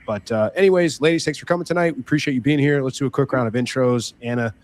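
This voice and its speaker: Guy hosting a live panel show, chatty, keeps correcting himself, regular pitch. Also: fast talker, slight background noise. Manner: loose, chatty, self-correcting. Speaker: podcast host.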